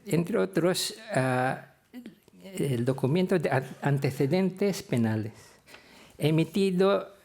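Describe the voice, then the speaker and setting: An older man from the Philippines recounts anecdotes as a professor would in a prepared talk. His voice sits slightly high for a man. He speaks very slowly, hesitating often and pausing mid-phrase. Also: gravelly, darkish tone. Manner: halting, hesitant delivery with frequent long pauses. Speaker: theology professor.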